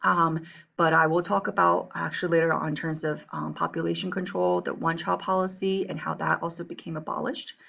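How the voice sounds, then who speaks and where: A woman from Taiwan teaches a recorded online class with a low, gravelly voice. She talks patiently, keeps reassuring her students and checks in with them often. The audio is dull and lo-fi. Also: little pitch movement, fairly quick, a bit bright.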